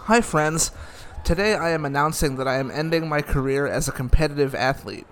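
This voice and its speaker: Higher-pitched man commenting over noise. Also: fairly quick. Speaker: reaction host commenting on a documentary. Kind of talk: casual watch-along commentary, reading aloud at times.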